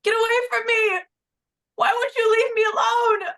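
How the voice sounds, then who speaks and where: A woman's voice: very high-pitched, flat delivery, normal speed, creaky, a bit bright, heavily acted. A woman playing a terrified young beauty queen in a horror script table read, frantic and pleading.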